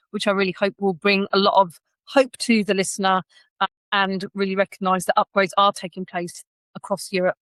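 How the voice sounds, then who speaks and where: A woman with a mid-pitched voice hosts a podcast in a chatty, conversational style. She comes across as enthusiastic and effusive. The sound is dry, with hardly any room echo. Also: a bit bright. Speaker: podcast host.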